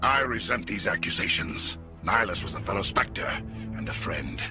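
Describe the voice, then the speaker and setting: A man voicing a cold, haughty alien agent in a video game, speaking with smooth condescension. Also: lowish voice, expressive, moderate pace, over music, close to an everyday voice. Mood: cold disdain.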